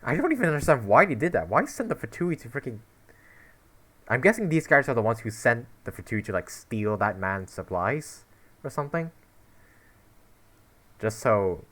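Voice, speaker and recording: A woman's voice: extremely low pitch, expressive, normal speed, pauses often. A young woman casually reacting to a game, chatty, laughing and exclaiming. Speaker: gaming youtuber.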